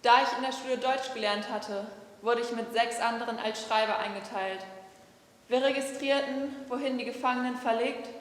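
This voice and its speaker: A young woman voicing a young male prisoner-of-war clerk in a plain, steady testimony reading. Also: a little high, moderate pace, lightly acted. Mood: sober. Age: young adult. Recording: very echoey hall recording.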